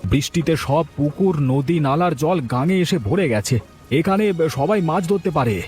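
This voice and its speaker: A man voicing a loyal, outspoken younger village fisherman, earnest in manner, in an animated story. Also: a little high, normal speed, natural voice. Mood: defiant. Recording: faint music behind the voice.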